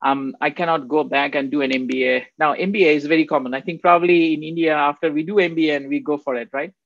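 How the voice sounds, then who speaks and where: A man's voice: a little high, fairly even intonation, fast, vocal fry, slight background noise. A man in his fifties from Bangladesh, giving a rambling, conversational faculty lecture from home, often checking in with "right?". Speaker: guest speaker. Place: home office. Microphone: video call. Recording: dull, dry video-call audio.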